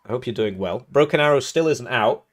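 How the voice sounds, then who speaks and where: A man's voice: medium pitch, lively intonation, brisk. Rambling, joking male stream caster. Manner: rambling, joking, chuckling between remarks. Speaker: tournament host and caster. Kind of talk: casual live-stream game commentary.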